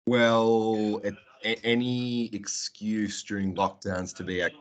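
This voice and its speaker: A very low-pitched man runs an online class roll call. He is friendly and chatty, teasing students lightly between introductions. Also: flat delivery, takes it slowly. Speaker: English teacher.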